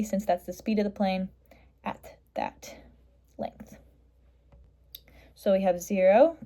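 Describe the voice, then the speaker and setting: A woman's voice: mid-range voice, dark tone. A woman explaining a worked math problem step by step in a matter-of-fact, instructional way, like a tutor.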